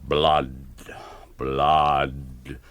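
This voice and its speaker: A man's voice: extremely low pitch, flat delivery, extremely slow, a bit bright, no room sound, heavily acted. An actor playing a brooding, aristocratic old vampire count and father in a radio play, solemn and portentous. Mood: doom-laden, brooding.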